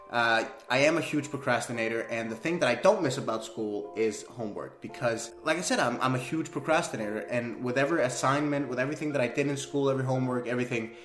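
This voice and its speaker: A young Danish man rambles casually in English, his accent clear, tossing in "man" as he chats. His voice sits at an ordinary pitch, the small room around him adds a roomy echo, and faint music hums behind. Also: a bit bright. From Denmark. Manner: chatty, rambling, frequent "man". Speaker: YouTuber. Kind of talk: casual reaction vlog. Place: small indoor room at a desk. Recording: echoey room.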